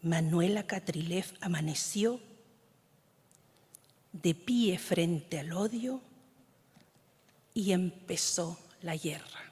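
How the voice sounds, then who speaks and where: A woman poet from Chile whose mother tongue is Mapudungun, reading her poems in a measured, solemn way, often letting lines fade into a whisper. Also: lowish voice, a little mellow, slightly rough. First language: Mapudungun (Huilliche). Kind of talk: slow, solemn poetry reading. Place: large conference hall. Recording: long reverb of a large hall.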